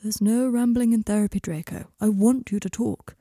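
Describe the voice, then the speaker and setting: A patient male therapist read by a woman in an audiobook scene. He is mild, measured and reassuring, with a mellow tone and a close, dry sound. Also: medium pitch, steady tone, slightly rough, no echo. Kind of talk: audiobook character dialogue.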